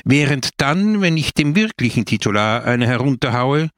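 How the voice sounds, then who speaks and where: A man voicing a hot-tempered, bullying village mayor and political agitator in a storybook, loud, blustering and declamatory. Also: mid-range voice, very animated, moderate pace, no echo, studio quality. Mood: threatening.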